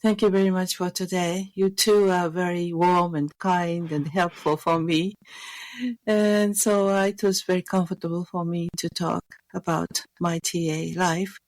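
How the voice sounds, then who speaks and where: A woman from Japan with a Japanese accent, speaking as a polite, soft-spoken podcast guest. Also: lowish voice, fairly even intonation, a little slow, no room sound, low-quality recording. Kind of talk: podcast interview.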